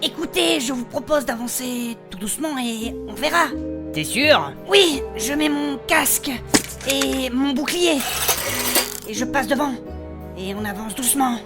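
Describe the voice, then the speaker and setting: A woman's voice: sits high, lively intonation, measured pace, loud music behind the voice. A woman playing a bossy, brash adventurer who leads her party in a comic fantasy audio drama, blunt and colloquial. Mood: commanding.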